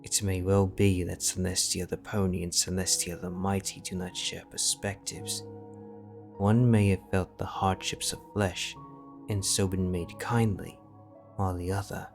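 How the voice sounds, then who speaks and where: A man narrating a fantasy fanfiction audiobook in a measured, solemn manner. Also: low-pitched, flat delivery, vocal fry. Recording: soft background music.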